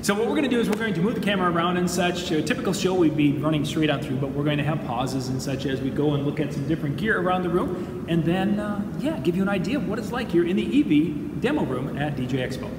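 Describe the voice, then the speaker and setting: Male video co-host, somewhat high-pitched, jokey and casual, recorded in an echoing demo room. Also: fast. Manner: playful, teasing. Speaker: co-host of a DJ gear show. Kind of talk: casual joking banter to camera. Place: demo room at a trade show. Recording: echoey hall, clearly noisy.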